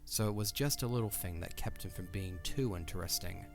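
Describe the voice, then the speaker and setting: A man's voice: low-pitched, brisk, creaky, soft background music. A man reading scripted narration for a fantasy audio drama.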